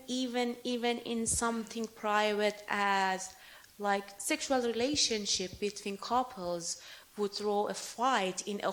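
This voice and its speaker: Earnest woman speaking haltingly. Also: medium pitch. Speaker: speaker presenting her paintings. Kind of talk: lecture Q&A answer.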